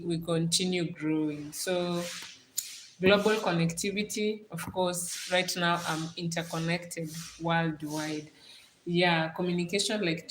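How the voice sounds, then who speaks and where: Female livestream host, Kenyan accent, slightly low voice, conversational and repetitive for emphasis, roomy sound. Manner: repeats phrases for emphasis. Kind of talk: casual livestream talk. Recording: roomy echo, clear background noise.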